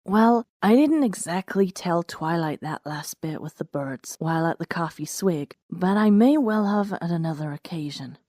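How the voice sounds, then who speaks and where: A woman's voice: lowish voice, animated, moderate pace, hardly any pauses, dark tone, dry recording, clean studio sound. A woman reading scripted stories aloud as a narrator and host. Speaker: host and narrator of a fan fiction reading show.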